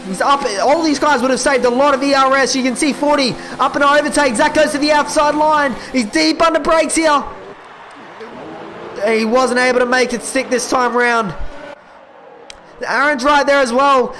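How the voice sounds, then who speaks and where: A young man calling a sim racing event live, excitable and quick, his voice giving out and cracking. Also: very high-pitched, slight background noise. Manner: excitable, quick calls that rise at overtakes. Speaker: esports race commentator. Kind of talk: live play-by-play race commentary.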